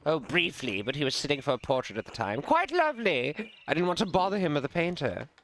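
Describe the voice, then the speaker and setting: A man's voice: on the high side, huge pitch swings, a little fast, a bit bright, a little hiss or hum, voice pushed up, exaggerated voice. A man voicing a jovial, entitled nobleman from a story game, with a grand, effusive manner and a gossipy streak. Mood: airy.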